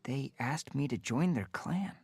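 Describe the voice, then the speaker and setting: A man's voice: mid-range voice, expressive, a little slow, darkish tone, husky, dry recording, faint music behind, voice pushed up. A man voicing a restless young house tomcat in a storybook reading, speaking in an earnest, firm, determined way.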